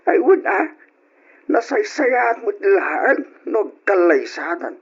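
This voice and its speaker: High, husky old Ilocano father. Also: very animated, slow pace, exaggerated voice. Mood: eager. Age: older. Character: eager old father awaiting a grandchild. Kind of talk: old Ilocano radio drama acting. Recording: dull lo-fi radio drama recording.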